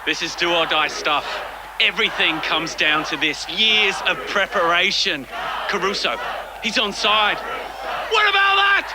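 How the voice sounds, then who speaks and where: A male soccer commentator, his voice pitched high and urgent. He calls the match dramatically in an audio drama, through a noisy broadcast with a very loud stadium crowd. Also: sing-song, a little slow. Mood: elated, shouting. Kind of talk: live sports commentary.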